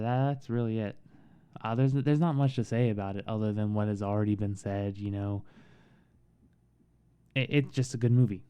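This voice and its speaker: A man giving a casual, halting film review in a soothing voice, with frequent pauses and restarts. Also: fairly low pitch, clear, resonant tone, dull audio. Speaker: movie reviewer.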